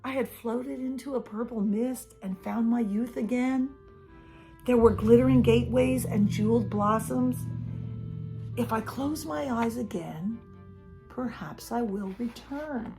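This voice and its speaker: A woman voicing a drowsy, grumpy old man who speaks slowly and dreamily. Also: on the high side, mellow, faint music behind, low-quality recording. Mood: dreamy.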